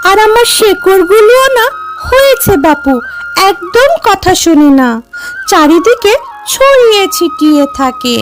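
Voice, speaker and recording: A woman voicing a kindly, helpful magic tree in an expressive, sympathetic way for a cartoon. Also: very high-pitched, a little slow, over music. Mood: remorseful. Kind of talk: cartoon story voice acting.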